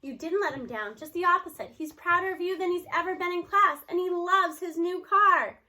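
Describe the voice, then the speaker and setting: Lady reading as a nice teacher lady cheering up her class, earnest, bright voice, kids book. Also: very high-pitched, normal speed, pitched above the performer's own voice, full character voice. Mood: consoling. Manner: earnest, gently firm, consoling. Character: kindly, reassuring female middle-school teacher.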